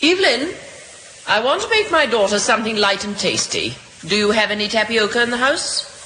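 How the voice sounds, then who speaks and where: A woman's voice: a little high, very animated, fairly quick, bright, forceful tone. An actress playing an imperious, fussing older mother-in-law in an old radio drama, coaxing and commanding. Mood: bossy. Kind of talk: 1940s-50s radio acting. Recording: noisy old radio recording.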